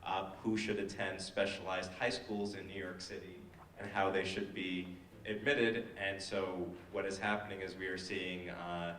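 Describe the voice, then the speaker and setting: A man with a deep, flat voice delivers political remarks on a panel, stumbling and repeating words. The room is echoey around him. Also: normal speed. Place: indoor event room. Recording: echoey room, distant mic, faint noise.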